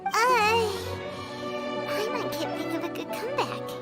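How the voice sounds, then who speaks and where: A woman's voice: expressive, unhurried, over loud music. A woman voicing a tiny, chirpy female fairy companion who speaks bluntly and refers to herself in the third person. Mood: puzzled. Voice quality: squeaky, bouncy.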